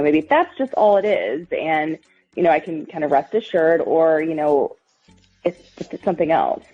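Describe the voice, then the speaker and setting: A woman's voice: fairly low pitch, husky. A woman talking casually and hesitantly as a caller to a radio show. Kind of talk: casual phone chat, hesitant explaining. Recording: muffled lo-fi audio, music underneath.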